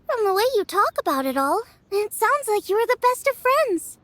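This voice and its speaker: An actress voicing a cheerful, eager young apprentice in a game who asks bright questions. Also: female voice, extremely high pitch, very animated, normal speed, no echo, exaggerated voice. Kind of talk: video game cutscene voice acting.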